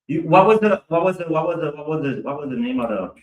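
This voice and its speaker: A man talking on a podcast in an animated, emphatic way and swearing casually. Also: a little high, fast talker. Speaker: podcast guest, cybersecurity specialist. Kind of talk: casual chat. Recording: echoey room, mic at a distance.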